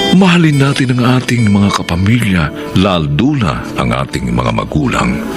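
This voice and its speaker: A man hosting a radio drama, giving an earnest, measured, scripted moral reflection. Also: on the low side. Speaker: radio drama host giving closing advice. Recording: loud guitar music under the voice.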